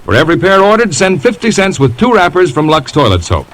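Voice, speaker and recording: A man's voice: on the high side, wildly swooping pitch, fast talker, a bit bright, slight background noise. An American man announcing in a scripted 1950s radio style, with polished, emphatic salesmanship. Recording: vintage radio broadcast with music.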